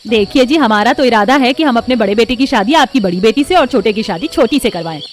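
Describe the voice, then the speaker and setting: A woman's voice: on the high side, lively intonation, a little fast, close to an everyday voice. A woman voicing a middle-aged mother-in-law in a cartoon story, formal and instructing. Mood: courteous. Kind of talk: Hindi cartoon story voice acting.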